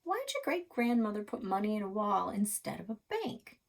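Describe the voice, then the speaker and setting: Storybook lead: plucky young tomboy woman performing, eager, blurting, curious, with a husky edge. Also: medium pitch, very animated, moderate pace. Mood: know-it-all. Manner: eager, blurting, matter-of-fact.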